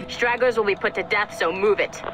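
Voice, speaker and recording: An actress playing a brash strike-team handler who gives orders in a snide, commanding way. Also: female voice, medium pitch, fairly quick, close to an everyday voice, low-quality recording. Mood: brisk, sarcastic. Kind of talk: scripted game dialogue, mission briefing. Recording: loud music behind the voice.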